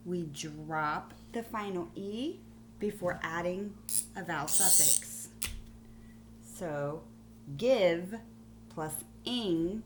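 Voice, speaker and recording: A woman schoolteacher giving a phonics lesson to young pupils on video, explaining deliberately and spelling things out. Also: fairly low pitch, slight background noise. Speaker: primary school teacher.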